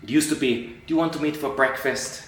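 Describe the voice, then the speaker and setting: A middle-aged man from Austria, an entertainment journalist answering interview questions, animated and acting out quoted colleagues, with frequent restarts. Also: fairly high pitch, fairly quick. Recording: echoey room, voice a bit far from mic.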